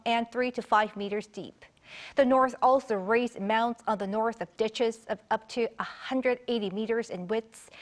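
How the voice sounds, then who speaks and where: High-pitched woman news anchor. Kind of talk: scripted news reading.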